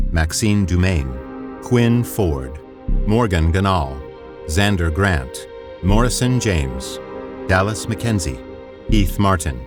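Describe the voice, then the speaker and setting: A man reading scripted closing credits in a formal, measured announcing manner. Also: sits low. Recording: music behind the voice.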